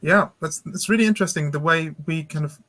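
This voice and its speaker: A man's voice: fairly high pitch, fairly quick, fairly bright tone, no echo. A man moderating an academic panel discussion, asking reflective, articulate questions and often hedging with "kind of". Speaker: conference moderator.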